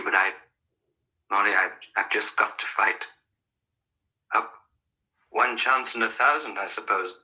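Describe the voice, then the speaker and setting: An actor playing a weary but resolute lover in an old radio drama, halting and earnest. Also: male voice, fairly low pitch, sharp tone, heavily acted, low-quality recording. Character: weary, resolute male lover back from storm.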